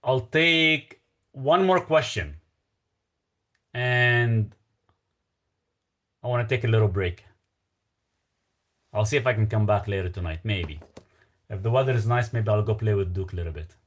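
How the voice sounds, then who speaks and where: A man's voice: lowish voice, unhurried, pauses often, fairly bright tone. A man with a Lebanese accent hosting a casual live stream, reading viewer questions aloud and answering in a loose, rambling way. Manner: loose and rambling, trailing off with fillers.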